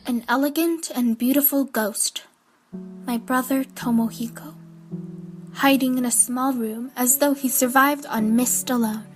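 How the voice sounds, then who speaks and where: A woman plays a reserved, brooding teenage girl in an audio drama. Her voice is high and her delivery slow and measured, with faint music behind it. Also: fairly even intonation. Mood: wistful. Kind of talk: amateur audio drama narration and dialogue.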